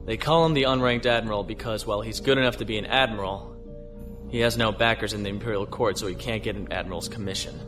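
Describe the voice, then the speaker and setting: An actor playing a calm, thoughtful male starship captain, measured and understated, in a sci-fi audio drama. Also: fairly low pitch, over music, lightly acted.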